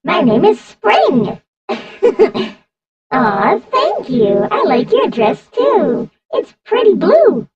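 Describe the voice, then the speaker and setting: A woman voicing an energetic little animatronic bunny girl, a cutesy, singsong cartoon daycare host with a menacing side. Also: very high voice, extremely slow, lo-fi sound, full character voice. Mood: sweet, nurturing. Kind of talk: character voice acting demo lines. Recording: echoey hall, distant from the mic.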